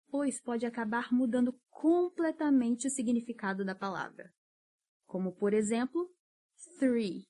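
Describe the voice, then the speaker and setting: A woman's voice: sits high, darkish tone. Encouraging woman teaching English. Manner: patient, encouraging, drills words slowly. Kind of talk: podcast pronunciation lesson.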